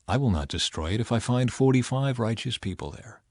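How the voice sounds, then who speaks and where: A man voicing God as a grave, measured and merciful judge in a dramatized scripture audiobook. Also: medium pitch, lively intonation, no room sound, studio quality, close to an everyday voice. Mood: calm, measured.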